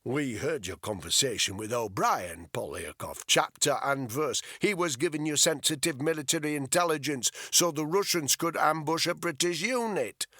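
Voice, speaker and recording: A man voicing a male officer interrogating a prisoner, slow, polite and clipped with a hard edge. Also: fairly high pitch, expressive, pushed and bright, no echo, studio quality, pitched above the performer's own voice. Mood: stern.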